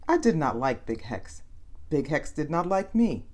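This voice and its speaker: A woman reading a children's picture book aloud as an animated, clearly phrased storyteller. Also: sits low, a little slow. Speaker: story reader.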